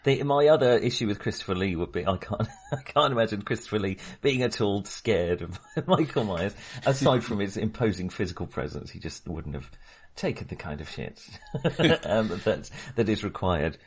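A man's voice: on the low side, normal speed, husky. A middle-aged man co-hosting a casual film discussion, talking in a wry, hesitant, self-correcting way. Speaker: film podcast co-host.

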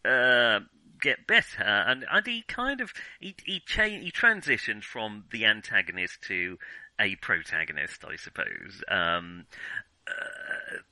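A man's voice: lowish voice, sing-song, takes it slowly, pushed and bright, no room sound, poor audio. A man talking casually about film as a podcast host, hesitant and often rephrasing his thoughts as he goes.

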